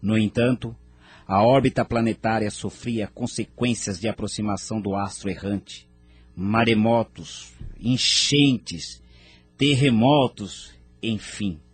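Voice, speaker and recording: A man playing a noble spirit mentor in an audio drama, narrating in a measured, formal, grave manner. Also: on the low side, breathy edge, soft background music. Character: Inumar, grave noble male spirit mentor recounting history.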